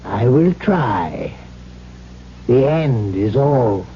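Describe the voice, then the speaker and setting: Old fatalistic doctor in a 70s radio play, really slow and formal, muffled hissy broadcast. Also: male voice, medium pitch, lively intonation. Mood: ominous. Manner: weighty, halting, formal. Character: old doctor, secretive experimenter, fatalistic possessive father. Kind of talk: 1970s radio drama acting.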